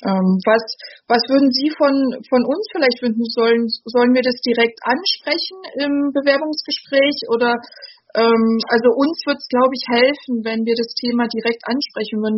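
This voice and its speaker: A woman's voice: on the high side, normal speed, husky, dull audio. A woman hosting a community radio show, stuttering with frequent repeated sounds and words. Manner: stutters, frequent word repetitions.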